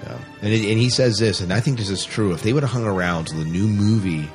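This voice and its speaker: A man hosting a podcast in casual chat, speaking in a conversational, slightly halting and repetitive way. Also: fairly low pitch, soft background music. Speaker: podcast host.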